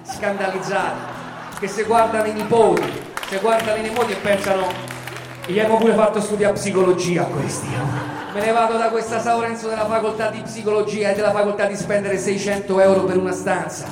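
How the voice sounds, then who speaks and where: A man with a high voice and a Roman accent delivers a satirical stage monologue for radio. His delivery is an emphatic, repeating litany. The space rings with long reverb, and music plays behind him. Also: steady tone, fairly quick, a crowd in the background. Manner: emphatic, repetitive litany delivery swinging from murmur to shouting. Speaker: monologue performer and author.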